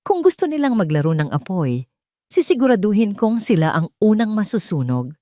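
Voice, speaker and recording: A woman's voice: mid-range voice, big pitch swings, normal speed, mellow, no echo, studio quality. A woman with a Filipino accent reading dramatic first-person scripted narration as a storyteller.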